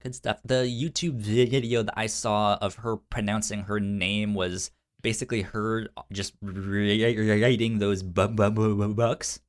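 A man's voice: lowish voice, little pitch movement. Stuttering man chatting casually. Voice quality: stutters, repeating sounds and syllables. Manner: halting, hesitant phrasing with informal slang. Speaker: podcast co-host. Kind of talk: casual pop-culture news chat.

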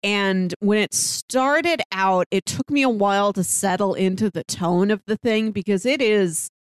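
A woman's voice: medium pitch, unhurried, smooth, dry recording. A woman co-hosting a podcast, talking in a casual conversational way. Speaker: podcast co-host.

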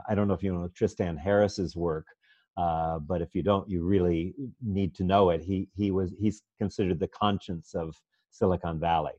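A man hosting an interview, speaking in an unhurried, attentive way. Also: low-pitched, darkish tone, dry recording. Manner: attentive, unhurried, with brief affirming murmurs. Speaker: host. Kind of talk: conversational interview. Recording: dull, dry lo-fi audio.

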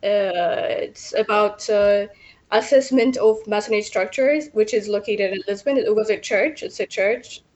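A woman's voice: medium pitch, measured pace, darkish tone. Hesitant Ethiopian-born woman engineer, lo-fi. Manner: hesitant, many fillers. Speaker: civil engineer guest. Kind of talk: radio interview answers.

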